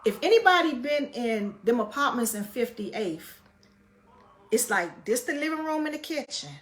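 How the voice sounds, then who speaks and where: Loud, high-voiced woman telling a story. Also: normal speed. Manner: profane, animated retelling; repeats phrases for emphasis. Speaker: vlogger telling a personal story. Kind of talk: casual storytelling.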